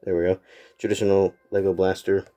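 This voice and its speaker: A man's voice: low-pitched, a little fast. A man casually reviewing a toy set, sounding congested and a little nasal from a cold, rambling with plenty of fillers. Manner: rambling, relaxed, lots of fillers.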